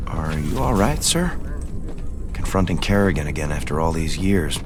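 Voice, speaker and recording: An actor playing a dutiful, earnest officer in a video game, respectful and military. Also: male voice, a bass voice, very animated, moderate pace, noisy background. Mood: concerned. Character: earnest, dutiful male second-in-command officer. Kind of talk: video game cinematic acting.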